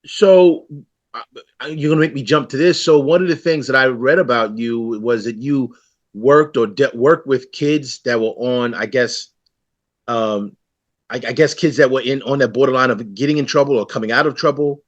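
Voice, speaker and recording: A man's voice: mid-range voice, moderate pace, dry recording. Friendly man hosting, dry dull sound. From the Bronx, New York City. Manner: friendly, loose and rambling questions. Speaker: newspaper publisher and host. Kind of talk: community interview hosting.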